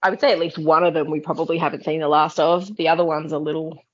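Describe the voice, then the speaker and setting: A woman's voice: sits low, level tone, quick pace, lo-fi sound. A woman co-hosting a podcast, talking casually in a discussion of a book. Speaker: podcast co-host.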